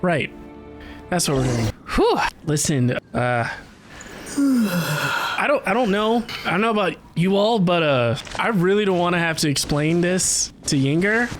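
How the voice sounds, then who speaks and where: A woman's voice: fairly low pitch, lively intonation, measured pace. A woman streaming a game live, reacting casually with wry, muttered asides. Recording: game music behind the voice. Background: game music.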